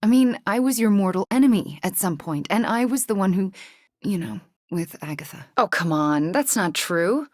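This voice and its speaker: A woman voicing a young video game sorceress, guilt-ridden, wry and guarded, with an earnest, dry delivery that often drops into a hush. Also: medium pitch, lively intonation, normal speed, no echo, natural voice. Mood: wry, conversational. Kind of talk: acted video game dialogue.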